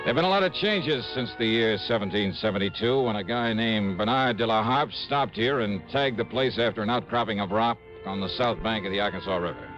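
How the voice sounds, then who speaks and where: An American actor in his thirties playing a brisk, wry insurance investigator in a 1950s radio drama, with clipped hardboiled delivery. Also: fairly low pitch, lightly acted. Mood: brisk narration. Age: 30s. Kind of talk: 1950s radio acting, hardboiled first-person narration. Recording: dull old radio recording, music behind.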